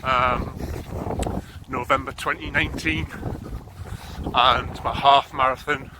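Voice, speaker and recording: Slow-talking northeast English man, flat voice. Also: medium pitch, heavy background noise. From North East England. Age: 30s. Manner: relaxed, rambling, lots of ums. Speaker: running vlogger. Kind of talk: casual chat while jogging. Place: outdoors on a snowy morning run. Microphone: handheld camera while running.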